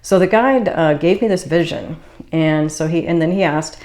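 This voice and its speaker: A woman from the Boston area, a spiritual channeler hosting her own video, explaining things in a calm, casual monologue. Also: sits low, normal speed. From Boston area, USA.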